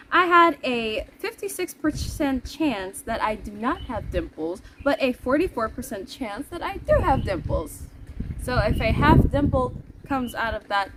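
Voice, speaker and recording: High-voiced woman vlogger, noisy background. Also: fairly bright tone. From Netherlands. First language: Dutch. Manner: chatty, playful, giggly. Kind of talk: casual vlog chat to viewers. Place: outdoors in the heat.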